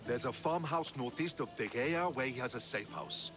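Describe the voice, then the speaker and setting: An actor playing a measured, principled male Spartan commander in a game cutscene who argues calmly and persuasively. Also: mid-range voice, music in the background, close to an everyday voice. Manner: calm, persuasive. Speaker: Spartan general.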